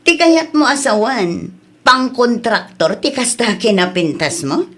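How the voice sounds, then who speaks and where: A woman's voice: a little high, sing-song, measured pace. A woman playing a plain-spoken, reproving village woman in a comedy audio drama, with a Filipino accent and a chiding manner. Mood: matter-of-fact.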